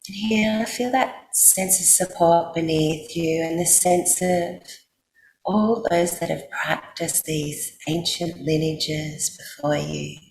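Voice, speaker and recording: Very slow, low-voiced Australian woman guiding meditation. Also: fairly even intonation, creaky, darkish tone. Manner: soothing, unhurried, with long pauses. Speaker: yoga nidra guide. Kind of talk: guided meditation for sleep. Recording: roomy echo, faint background noise.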